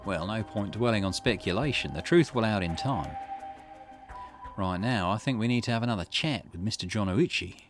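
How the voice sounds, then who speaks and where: A man's voice: fairly low pitch, normal speed, over music, lightly acted. A man voicing a shrewd, confident male investigator in a visual novel, speaking in a conversational, explanatory way. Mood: confident, musing. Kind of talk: reading visual novel dialogue aloud.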